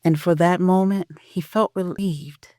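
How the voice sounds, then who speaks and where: A narrator reading a scripted audio drama in a solemn, sentimental, deliberate way. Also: mid-range voice, steady tone, a little mellow, no echo, studio quality.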